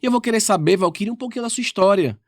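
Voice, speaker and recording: A man hosts a podcast interview in a friendly, affectionate way, often ending his sentences with short check-in tags. His voice sits somewhat high for a man. The sound is dry and close, and there is no room echo. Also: very fast. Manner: friendly and affectionate, ends many lines with "tá?". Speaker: podcast host.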